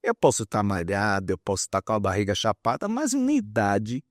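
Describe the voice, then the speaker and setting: A man's voice: fairly low pitch, sing-song, fairly quick, dry recording, clean studio sound. A man, explaining his opinions in an interview with examples and repeated words for emphasis. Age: 50s. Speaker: guest psychologist and author.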